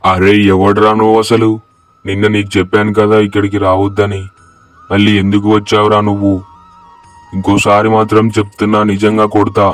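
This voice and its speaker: A man's voice: fairly low pitch, completely flat delivery, brisk, faint music behind, lightly acted. A man playing a proud, blunt village farmer in a cartoon moral story. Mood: scolding. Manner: boastful, blunt, colloquial. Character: arrogant, scolding village farmer who later repents.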